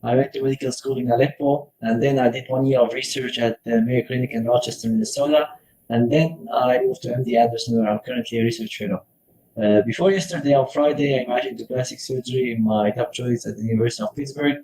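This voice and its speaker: A young man from Syria, a medical research fellow, giving advice in a relaxed talk with many fillers and restarts. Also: mid-range voice, fast talker. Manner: hesitant, with frequent fillers and restarts. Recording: echoey room.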